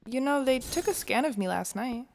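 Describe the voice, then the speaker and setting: Guarded young woman with a creaky voice, acted. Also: high voice, lively intonation, normal speed, noisy background. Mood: uneasy, hesitant. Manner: hesitant, guarded. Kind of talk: audio drama acting.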